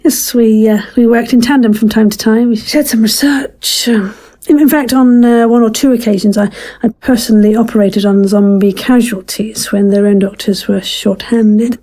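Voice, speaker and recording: Woman acting courteous doctor, mellow dark tone, fairly high pitch, hesitant, guarded, formal audio drama. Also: fairly even intonation, normal speed, close to an everyday voice. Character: polite, guarded woman doctor, former army surgeon.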